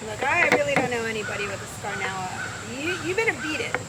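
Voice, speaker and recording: A woman's voice: fairly high pitch, animated, fast, crowd noise, lightly acted, rough recording. A woman playing a curt, sassy, defensive maid in a radio play. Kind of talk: amateur radio play acting.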